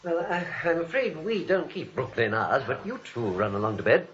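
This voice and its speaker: An actor playing a coldly domineering criminal with a deliberate menacing manner, in 1940s radio comedy style. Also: male voice, a little high, very animated, background noise. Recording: dull old radio recording.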